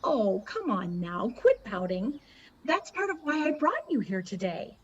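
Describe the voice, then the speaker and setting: A woman playing a calm, haunted mother in a radio play, soothing, earnest and cryptic, stubborn in what she believes. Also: mid-range voice, measured pace, dull audio. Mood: coaxing.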